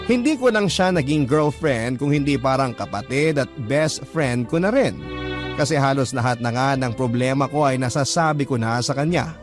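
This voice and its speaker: A man's voice: mid-range voice, expressive, moderate pace. A man reading a first-person letter aloud in a reflective, heartfelt manner. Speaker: letter narrator. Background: violin music.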